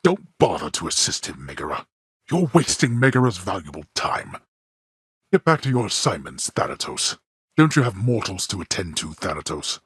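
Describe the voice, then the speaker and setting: Super deep raspy old god of the dead for a game, stern bitter dad, talks all formal and imperious, swoops up and down. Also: male voice, sing-song, dry recording, studio quality, heavily acted. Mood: reproving. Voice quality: deep, gravelly, husky. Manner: formal, imperious, archaic phrasing, measured disdain. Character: stern, ancient god-king of the underworld, bitter father. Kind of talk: theatrical video game voice acting.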